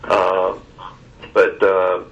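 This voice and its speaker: A man giving a formal briefing on a conference call in a halting, deliberate and measured way, with long hesitations. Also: deep voice, monotone, a bit bright. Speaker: search consultant reporting to a committee. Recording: dull, distant conference phone line.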